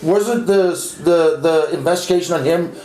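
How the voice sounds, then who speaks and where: A man's voice: a little high, normal speed, darkish tone. A man in a casual opinionated debate, blunt and insistent, swearing and repeating himself to make his point. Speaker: interviewee. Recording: echoey, clearly noisy background.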